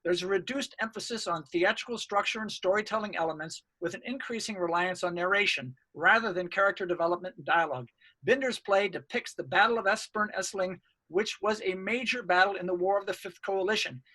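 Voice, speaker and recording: A man's voice: high-pitched, fairly quick, sharp tone, low-quality recording. A man reading out a scripted academic paper as a researcher, in a formal and earnest manner. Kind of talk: scripted academic presentation, read aloud.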